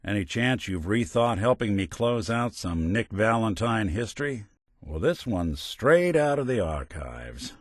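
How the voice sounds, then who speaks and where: An American actor playing a world-weary, gruff but decent synthetic private detective in a noir game, with a dry, sardonic manner. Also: male voice, on the low side, very animated, a little slow, dry recording. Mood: dry, determined. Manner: dry, sardonic, old-time gumshoe slang. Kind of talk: noir hard-boiled detective game dialogue.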